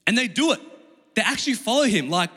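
A man's voice: very high-pitched, very animated, fast, a bit bright, slightly rough. A young man with an Australian accent and Chinese as his first language, preaching in an informal, slangy, conversational style. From Australia, Melbourne. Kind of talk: conversational sermon with personal storytelling. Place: large reverberant room with an audience. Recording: long hall reverb.

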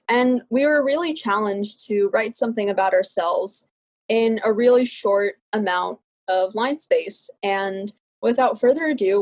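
A woman's voice: medium pitch, a little mellow. Woman co-host from New York, mid-range voice, chatty with offhand asides. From New York City, USA. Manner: chatty, tosses in quick asides. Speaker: podcast co-host.

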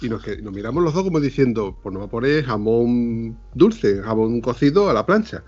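A man's voice: mid-range voice, lively intonation, normal speed, darkish tone. Podcast host guy, jokey and laughing, muffled lo-fi audio. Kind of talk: casual chat.

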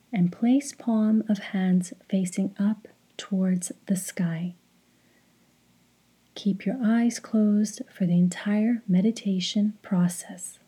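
A woman leading a guided meditation in a hushed, breathy voice, giving calm, soothing, deliberate instructions. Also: medium pitch.

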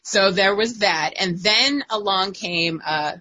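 Giggly, bright-voiced woman telling stories. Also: mid-range voice, takes it slowly. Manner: giggly, animated storyteller. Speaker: guest writer. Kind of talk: casual chat.

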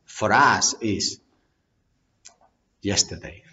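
Non-native male CEO, lowish voice, halting and repetitive, dull lo-fi audio. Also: bright, forceful tone. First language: not English. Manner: halting, repeats words, plain blunt phrasing. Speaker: company CEO. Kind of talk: direct-to-camera business talk.

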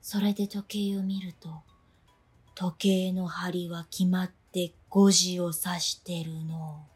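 Airy, unflappable schoolgirl, husky. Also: on the low side, flat delivery, takes it slowly, a little mellow, faint music behind, heavily acted. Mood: storytelling. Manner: earnest, oblivious, breezily matter-of-fact. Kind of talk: comedic radio drama dialogue.

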